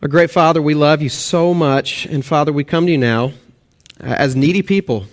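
Earnest Texan pastor, fairly high voice. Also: male voice, moderate pace. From Texas and Oklahoma. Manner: earnest, many "uh" fillers, rising emphasis on key points. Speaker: student pastor. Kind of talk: sermon with prayer. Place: church sanctuary.

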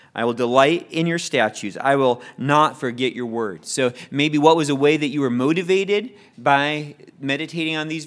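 A man's voice: mid-range voice, a bit bright. Man leading a Bible study class. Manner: friendly, repeats words and restarts often. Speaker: church class leader.

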